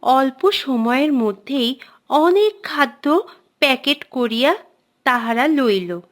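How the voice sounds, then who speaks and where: A woman's voice: sits high, expressive, takes it slowly, a bit bright. A woman narrating a scripted children's cartoon tale in an old-fashioned literary storytelling manner.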